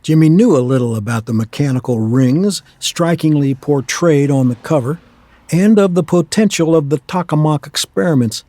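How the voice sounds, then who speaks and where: A man narrating a scripted radio play in a measured storytelling manner. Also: fairly high pitch, dark tone, no echo.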